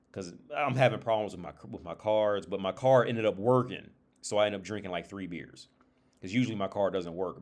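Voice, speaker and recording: A man with a lowish voice hosts a livestream on his own. He talks loosely and informally, as if thinking aloud. His speech is peppered with swearing, and he often repeats or restarts a phrase before moving on. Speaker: livestream host. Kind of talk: casual rambling livestream monologue with anecdotes.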